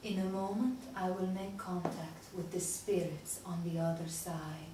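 A woman's voice: fairly low pitch, little pitch movement, unhurried, close to an everyday voice. A woman playing a pompous fake spirit medium who speaks with portentous drama in a comedy sketch. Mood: solemn, portentous. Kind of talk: stage comedy sketch acting. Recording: echoey room, distant mic, faint noise.

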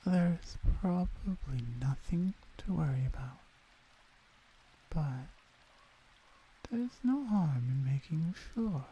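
A woman voicing a wary, protective orc huntress in an ASMR roleplay, soft and blunt with an unhurried delivery. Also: sits low, animated, breathy edge, heavily acted, poor audio. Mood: calm, explaining.

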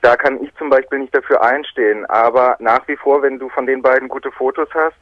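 A man's voice: mid-range voice, steady tone, fairly quick, a bit bright, no room sound, low-quality recording. A man calling in to a talk show, chatting casually in a frank, matter-of-fact way. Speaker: caller, celebrity photographer. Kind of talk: casual phone-in conversation.

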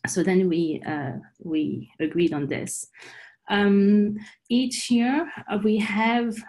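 A middle-aged woman giving an informal, halting scientific presentation over a video call, explaining as she goes. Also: mid-range voice, darkish tone, rough recording. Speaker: climate research presenter. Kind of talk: online scientific presentation with slides.

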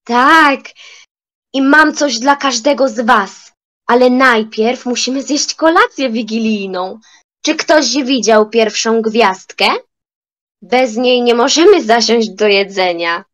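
A young woman playing an old grandmother in an amateur audio drama, in a halting, theatrical manner. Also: on the high side, fairly bright tone. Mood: cheerful. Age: young adult. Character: grumpy old grandmother turned remorseful and joyful.

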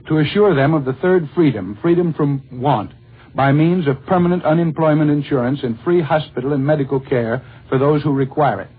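An American male radio correspondent delivers a 1940s news report. His voice sits a little high and is very rough and husky. It comes through a thin, scratchy vintage broadcast. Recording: scratchy narrow-band 1940s radio recording.

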